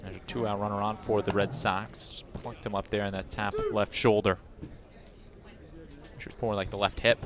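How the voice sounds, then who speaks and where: A man's voice: on the low side, level tone, moderate pace, a crowd in the background. A man giving baseball analysis as a color commentator on a game broadcast.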